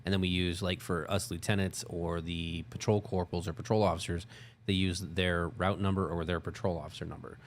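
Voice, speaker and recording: Very low-voiced man, casual talk, background noise. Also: flat delivery, brisk. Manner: informal, explanatory. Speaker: co-host, security company lieutenant. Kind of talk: casual unscripted work talk show. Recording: clear background noise, room ambience.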